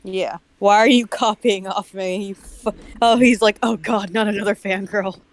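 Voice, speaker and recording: A woman's voice: mid-range voice, fairly even intonation, moderate pace. A woman chatting casually as a co-host, making dry jokes about a story. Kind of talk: casual chat.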